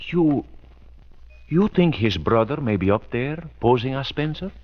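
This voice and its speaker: An actor playing a harried, businesslike movie producer in a radio drama, speaking earnestly and emphatically. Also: male voice, mid-range voice, big pitch swings, unhurried. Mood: earnest, worried. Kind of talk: 1940s radio drama acting. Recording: dull old radio recording.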